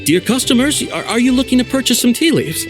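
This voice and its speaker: Fawning tea merchant, high lively voice, salesy, soft music behind. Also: male voice, fast talker. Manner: fawning sales patter, flustered stammer. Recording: game audio with faint music.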